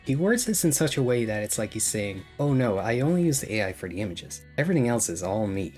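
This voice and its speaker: A man's voice: fairly low pitch, very animated, quick pace, a little mellow, soft background music. A man narrating a scripted video essay as a commentator, critical and sarcastic in tone. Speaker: YouTube commentator.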